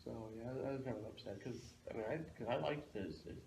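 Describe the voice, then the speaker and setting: A man's voice: medium pitch, fast, creaky, a little mellow. A man chatting casually as a fellow comic book fan, recorded in a noisy, echoey room. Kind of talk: casual chat.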